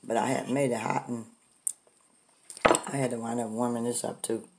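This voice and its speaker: A middle-aged woman with a hoarse voice from a cold, chatting to her viewers while eating breakfast in her home kitchen. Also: rumbling low, fairly even intonation, normal speed, noisy background. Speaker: food vlogger. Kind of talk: casual eating vlog chat.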